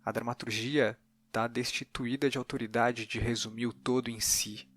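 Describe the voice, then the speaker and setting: A man's voice: mid-range voice, steady tone, normal speed, fairly bright tone. A man with a Brazilian accent hosting a conversational interview, reflecting aloud and rephrasing as he goes. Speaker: podcast host, interviewer.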